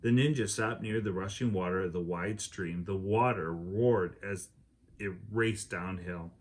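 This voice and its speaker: A man with a fairly low voice reads a children's adventure book aloud. He tells it expressively and acts out the characters' lines as he goes. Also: unhurried. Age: adult. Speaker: teacher reading aloud.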